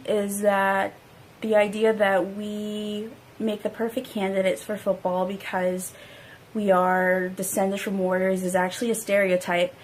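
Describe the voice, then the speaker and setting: A woman's voice: medium pitch, flat delivery, measured pace, slight background noise, rough recording. A woman giving an explanatory personal statement.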